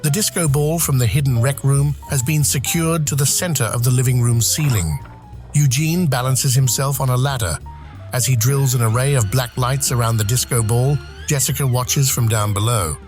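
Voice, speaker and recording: A male narrator delivers dramatic scripted narration in a medium-pitched voice. His phrasing is measured and deliberate. Music plays clearly behind him. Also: a little mellow.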